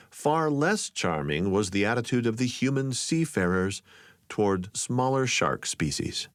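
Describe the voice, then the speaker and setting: Expressive low-voiced man narrating. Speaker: podcast host. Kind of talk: scripted storytelling narration.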